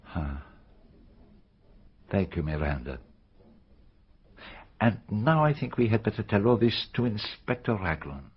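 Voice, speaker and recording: An actress playing a concerned questioner in a radio drama, gently but intently drawing out a young witness. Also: female voice, extremely low pitch, very animated, a little slow, pauses often, breathy edge, heavily acted. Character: probing, concerned adult questioner of a child. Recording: dull, muffled radio drama recording.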